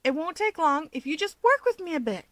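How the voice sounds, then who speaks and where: A woman's voice: sits high, big pitch swings, fairly quick, a bit bright, no room sound, voice pushed up. A woman voicing a playful, stubborn, easily riled young winged hero, insistent and teasing, in an audiobook. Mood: pleading, eager. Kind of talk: fan fiction audiobook dialogue.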